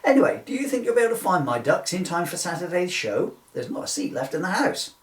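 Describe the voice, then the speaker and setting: In a roomy, distant recording, a man acts out a dim comic showman. He states absurdities as plain fact, literal and pedantic, with a lively rise and fall. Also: sits high, fast talker. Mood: matter-of-fact. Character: dim, literal-minded, unbothered duck-owning rodeo showman. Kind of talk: comic two-hander read from a book. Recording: distant, echoey room recording.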